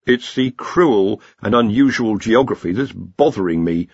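A wary, hard-done-by wizard , played by a man in a storybook. He answers with bitter sarcasm and suspicion in animated intonation. The audio is slightly dull. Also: fairly low pitch, measured pace, slightly rough, no echo, full character voice. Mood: bitter, suspicious. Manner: sardonic, suspicious. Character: bitter, wary, put-upon male cowardly wizard. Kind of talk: audiobook character voice.

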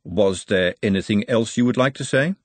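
A man acts out an urbane male tyrant from a fantasy storybook. He is formal, measured and dryly understated, with calculation under every phrase. The recording is dry and close. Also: lowish voice, no room sound, natural voice. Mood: dry, composed. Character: urbane, wry, calculating male city ruler.

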